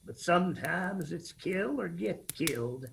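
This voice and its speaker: An actor playing a hard, menacing mountain uncle in a radio drama, with an Appalachian accent. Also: male voice, high-pitched, lively intonation, unhurried, vocal fry, a bit bright, slight background noise, full character voice. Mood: goading.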